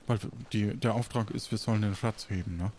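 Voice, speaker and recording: A man hosting an improvised audio play as game master, halting and often ending on tag questions. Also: fairly low pitch, fairly even intonation, a little mellow.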